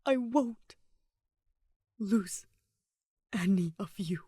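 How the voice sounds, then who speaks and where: A woman voicing a devoted, tender young husband and father, in a hushed, choked, halting way. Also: medium pitch, breathy edge, dry recording, studio quality, exaggerated voice. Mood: anguished.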